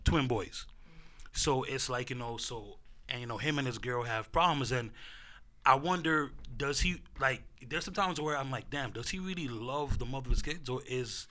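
Bright-voiced young man hosting a chat. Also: medium pitch, normal speed. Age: late twenties. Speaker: podcast host moderating a panel. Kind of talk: casual group chat.

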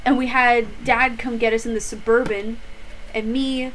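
A young adult woman, a guest on her mother's podcast, chatting casually with quick quips and telling stories. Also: on the high side, flat delivery, a bit bright, slight background noise, poor audio. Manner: quippy, chatty storyteller. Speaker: guest, daughter. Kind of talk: casual chat.

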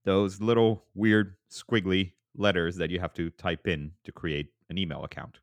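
A man's voice: steady tone, normal speed, no echo. A man hosting a podcast in casual chat, playful and teasing. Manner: playful, jumps up in pitch for jokes. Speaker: podcast host.

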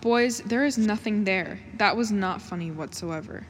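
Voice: medium pitch, normal speed, close to an everyday voice. A performer playing a stern, dismissive school authority in an amateur radio drama. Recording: noisy, long reverberant hall sound.

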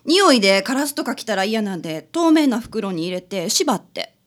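ラジオドラマで、女性が関西なまりの丁寧だがはっきり物を言う女性の依頼人を演じている。. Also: female voice, mid-range voice, sing-song, normal speed, close to an everyday voice. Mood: polite. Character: polite, indignant female neighbour client. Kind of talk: community radio drama acting.

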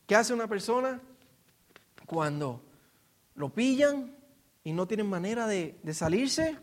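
A man's voice: sits high, very animated, unhurried. A man with a Puerto Rican accent preaching in an informal, storytelling way, explaining scripture and asking rhetorical questions. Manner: informal, acts out quotes and asks rhetorical questions. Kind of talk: expository sermon, conversational storytelling.

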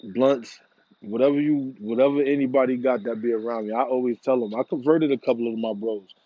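A man's voice: medium pitch, steady tone, a little fast, no room sound, narrow, tinny audio. A man in a casual, rambling monologue, laid-back and profane, full of slang. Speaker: vlogger. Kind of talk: casual monologue about smoking.

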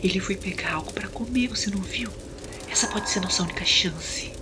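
A woman playing a defiant, resolute young captive in a Brazilian audio horror drama, giving urgent, often hushed orders. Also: medium pitch, a little fast, background noise, close to an everyday voice. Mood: hushed urgency.

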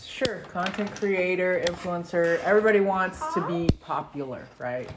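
Low-voiced mother leading a family discussion. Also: moderate pace. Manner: asks questions and prompts the kids. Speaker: podcast host and parent. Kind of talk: casual family scripture discussion. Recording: roomy home recording with background noise.

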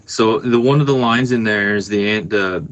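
A man's voice: on the low side, normal speed, fairly bright tone, a little hiss or hum, poor audio. A man chatting casually as a musician guest on a podcast over a remote call. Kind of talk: casual chat. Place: remote Skype call from Canada.

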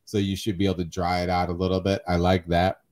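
Chatty low-voiced beer podcast host. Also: male voice, monotone, fast, darkish tone. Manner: chatty, repeats words while thinking aloud. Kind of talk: casual chat.

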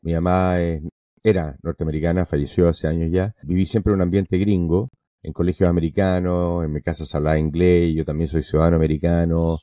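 A man, a podcast guest telling his story in an emphatic, animated way. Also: low-pitched, fairly quick, dry recording, clean studio sound. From Venezuela. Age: 50s. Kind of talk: casual interview talk.

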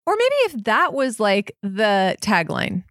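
A woman's voice: mid-range voice, very animated, slow pace, a bit bright, no echo, clean studio sound. A woman co-hosting a horror movie podcast, chatting casually, often laughing and a little hesitant. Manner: giggly, hesitant. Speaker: podcast co-host.

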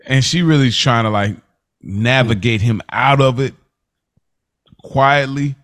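A man's voice: medium pitch, a little slow, a bit bright. A young adult man co-hosting a casual podcast, laid-back and laughing often as he talks, restarting his sentences. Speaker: podcast co-host. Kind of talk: casual chat.

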